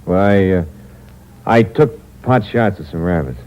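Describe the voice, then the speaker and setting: A man's voice: low-pitched, animated, measured pace, darkish tone. An actor playing a cold, hard-boiled con man who narrates in the first person in a 1950s radio drama, with tough, clipped slang and a menacing edge. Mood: wary. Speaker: narrator and lead character. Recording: dull 1950s radio broadcast with hum.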